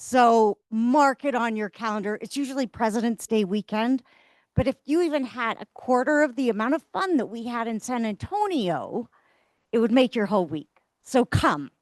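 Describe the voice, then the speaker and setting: Brief: woman host, upbeat, very lively pitch, dry close sound. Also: normal speed, no room sound. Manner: upbeat and encouraging. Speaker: meeting leader. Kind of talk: hosting a team meeting.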